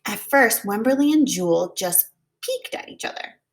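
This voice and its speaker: A woman reading a children's picture book aloud in an expressive, deliberate way, like a preschool teacher. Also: medium pitch. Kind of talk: children's storybook read-aloud.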